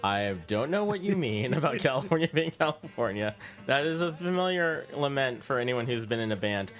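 A man's voice: mid-range voice, sing-song, a little fast, fairly bright tone, faint music behind. A man hosting a casual interview show on the radio, chatting informally from a studio.

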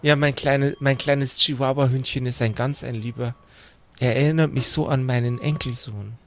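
A man voicing a kindly, doting old grandmother who speaks gently and politely in old-fashioned phrases. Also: mid-range voice, steady tone, normal speed, close to an everyday voice. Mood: fond.

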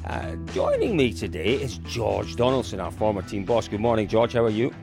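A man's voice: on the low side, huge pitch swings, normal speed, creaky, music in the background. A Scottish man in his thirties hosting a motorsport podcast in a casual, chatty way. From Dundee, Scotland. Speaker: podcast host.

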